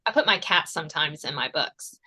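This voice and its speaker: A woman's voice: low-pitched, lively intonation, brisk, bright, forceful tone, husky. A woman hosting a podcast in a casual interview, chatty and given to laughing. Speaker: podcast host.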